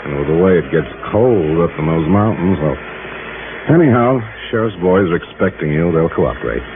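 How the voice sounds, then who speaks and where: A man's voice: low voice, lively intonation. A man acting a talkative, eager American insurance man in a 1950s radio drama, slangy and salesman-like. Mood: chatty, confiding. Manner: slangy, hesitant pauses, salesman-like. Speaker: radio actor playing a supporting part. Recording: muffled, noisy old radio broadcast.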